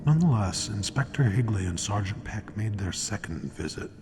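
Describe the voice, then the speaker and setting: An actor playing a grave, ominous narrator of a radio crime story, deliberate and dramatic. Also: male voice, fairly low pitch, lively intonation, a little mellow, slight background noise, lightly acted. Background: music.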